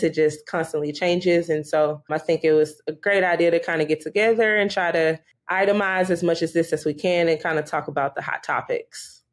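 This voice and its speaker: Matter-of-fact woman attorney from Houston. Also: low voice. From Houston, Texas. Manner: steady, matter-of-fact explaining. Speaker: guest associate attorney. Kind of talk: conversational podcast interview.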